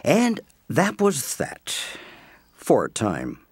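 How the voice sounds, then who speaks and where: A man narrating an audio play from a script as an expressive storyteller. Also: medium pitch, drawn-out words, slightly rough, no room sound, clean studio sound, full character voice.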